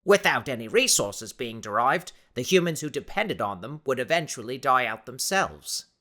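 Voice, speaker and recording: Man voicing an unflappable, learned explorer, bright-toned. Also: medium pitch, sing-song, normal speed. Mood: dry explaining. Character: big, unflappable male explorer, learned and matter-of-fact.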